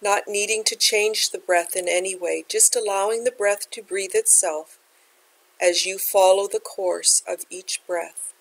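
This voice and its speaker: A woman leading a guided meditation as a teacher, speaking in a gentle, unhurried, measured way. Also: mid-range voice, steady tone, creaky, poor audio.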